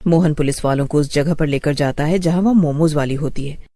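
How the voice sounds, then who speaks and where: A woman's voice: sits low, brisk, mellow. A woman storyteller reading a scripted horror tale as narrator.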